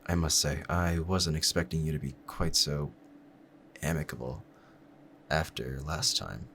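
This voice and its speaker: Very deep-voiced, courtly lovestruck assassin played by a man. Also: steady tone, gravelly, slight background noise, full character voice. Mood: flattered, giddy, then grateful, candid. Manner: formal, measured, gently courtly phrasing. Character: lovestruck male assassin, courteous, smitten, quietly menacing. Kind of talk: roleplay audio drama addressed to the listener.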